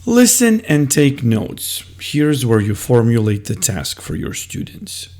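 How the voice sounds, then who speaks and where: A man explaining classroom methods as a teacher, in a deliberate, step-by-step instructional style. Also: mid-range voice, big pitch swings, darkish tone.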